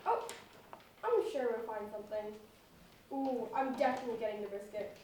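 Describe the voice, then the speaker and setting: A young woman acting in an amateur stage comedy as an eager, increasingly exasperated dinner date, expressive and incredulous. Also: a little high, moderate pace, natural voice. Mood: eager, helpful. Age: teenager or young adult. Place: echoey indoor stage, recorded from afar. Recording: echoey stage, distant mic, faint noise.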